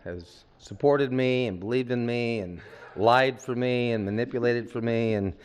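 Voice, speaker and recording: Brief: older male actor, mid-pitched, chatty and rambling, on-set interview. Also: normal speed, slight background noise. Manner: rambling, chatty, many asides and fillers.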